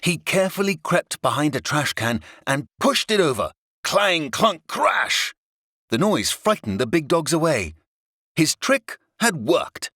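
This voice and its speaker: A man narrating a scripted children's story in a dramatic, expressive storytelling manner. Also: a little high, measured pace, no echo, clean studio sound.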